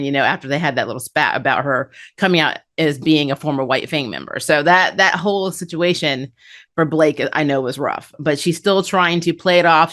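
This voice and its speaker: A woman's voice: on the low side, a bit bright. A woman hosting a review show, talking through an episode in a casual, conversational and explanatory way. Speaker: host of an anime review show.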